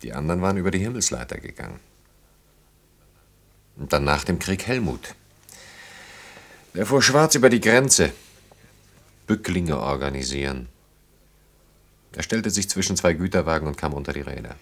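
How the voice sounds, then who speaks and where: An actor in a German radio play, playing a brooding engineer who speaks reflectively in halting, short phrases. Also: male voice, low-pitched, very animated, heavily acted. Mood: melancholy, recounting. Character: brooding engineer haunted by wartime childhood and lost friends.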